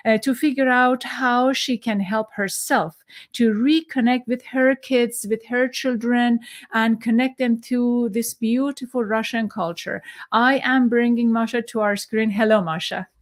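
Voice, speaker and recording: Higher-voiced woman podcast host with accented English. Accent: non-native English accent. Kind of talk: conversational interview.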